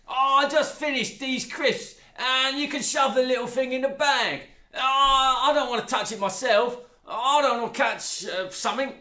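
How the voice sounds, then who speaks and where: A man reading a storybook and voicing a loud, crude stepmother who talks with her mouth full. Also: very high-pitched, a little slow, hardly any pauses, voice pushed up, heavily acted. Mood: brash. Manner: loud, mock-sad, brash. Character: loud greedy crude stepmother, middle-aged woman. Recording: roomy echo.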